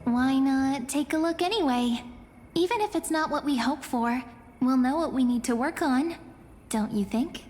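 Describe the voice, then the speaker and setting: A woman voicing a gentle, dreamy young fortune-teller in a video game, soft and lyrical. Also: high voice, animated, normal speed. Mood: reassuring. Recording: long reverb, music underneath.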